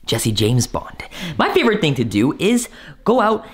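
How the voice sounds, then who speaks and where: A man, talking playfully and excitedly to camera as a vlog host. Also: fairly high pitch, moderate pace. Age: 20s. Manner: animated, playful, hyped. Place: living room at night. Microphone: handheld vlog camera at arm's length. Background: faint music.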